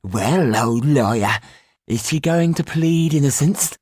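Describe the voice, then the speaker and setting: A man voicing a coarse, mocking prison jailer with a loud, jeering manner in an audiobook. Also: medium pitch, expressive, slow pace, husky, no room sound, clean studio sound, pitched above the performer's own voice.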